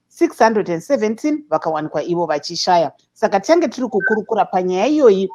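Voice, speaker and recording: A woman presenter reading a news update in a steady, measured way with small hesitations. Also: lowish voice. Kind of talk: news report. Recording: dull lo-fi sound.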